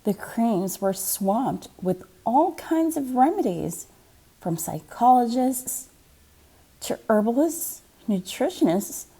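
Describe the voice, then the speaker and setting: Lady librarian reading a picture book out loud, slow and dramatic, soft-edged slightly husky voice. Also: sits high, low-quality recording. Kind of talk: expressive children's storybook narration.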